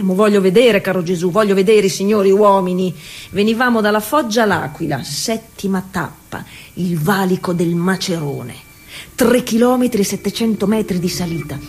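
A woman's voice: medium pitch, darkish tone, natural voice. A woman playing a proud, stubborn Italian racing cyclist of the early twentieth century in an emphatic, colloquial theatre monologue. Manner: emphatic, colloquial storytelling addressed to an absent listener.

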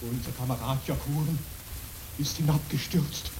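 A man's voice: a little high, steady tone, moderate pace, natural voice. A man playing a grim, resigned mountain porter in a radio drama, speaking in a grave, fatalistic way. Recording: hissy radio drama recording.

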